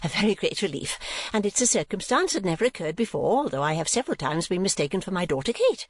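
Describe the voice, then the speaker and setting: Fussy, self-important older mother, played by a woman, prim and high-voiced. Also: very animated, quick pace. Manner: prim, fussing, self-regarding chatter.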